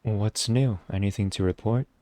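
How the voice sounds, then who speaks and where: Deep mellow guy streaming a game, chill low-key casual commentary. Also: moderate pace, dry recording. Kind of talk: casual live gameplay commentary.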